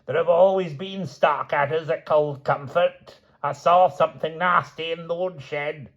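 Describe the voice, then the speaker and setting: A man voicing a tyrannical, shrill old grandmother who wails and screams for her family. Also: fairly even intonation, unhurried, husky. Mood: hysterical. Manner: wailing, screaming. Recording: dull lo-fi recording.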